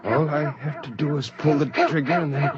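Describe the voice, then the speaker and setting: An actor playing a bitter, haunted rebel astronaut with brooding, defiant intensity in 1950s radio drama style. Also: male voice, on the high side, normal speed, a loud crowd, lightly acted. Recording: dull, hissy 1950s radio recording.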